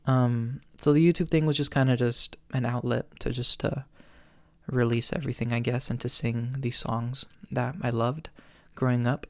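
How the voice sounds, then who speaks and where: A young man in his twenties hosts a solo listener Q&A, chatty and earnest, dropping ums and small laughs between thoughts. His voice sounds smooth and clear despite a tired edge that he says can crack. He speaks in a fairly flat, even tone, close to the mic in a dry room. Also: medium pitch, moderate pace, dry recording. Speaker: podcast host. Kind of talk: solo Q&A chat.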